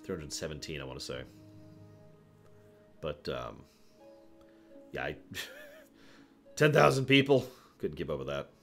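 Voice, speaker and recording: A man's voice: very deep voice, big pitch swings, a little slow, gravelly, long pauses, fairly bright tone, faint music behind. A man hosting a livestream, chatting casually and opinionatedly with his viewers in an emphatic, conversational way. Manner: emphatic, conversational, breaks off to address chat. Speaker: livestream host.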